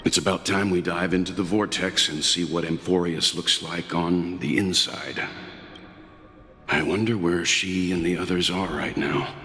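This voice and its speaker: A deep serious game strategist, calm and deliberate, bit of reverb. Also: male voice. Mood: grave, pensive. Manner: measured, serious. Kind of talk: video game voice acting.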